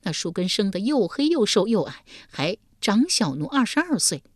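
A woman's voice: sing-song, moderate pace, no echo, clean studio sound. A woman voicing a stammering, frightened young wife. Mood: pleading. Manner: high, trembling, stammering.

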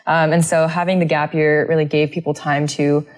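Low-voiced young woman explaining earnestly. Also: flat delivery, a little fast. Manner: earnest, fluent explaining with fillers. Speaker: interview guest, a cappella singer. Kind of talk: casual interview talk.

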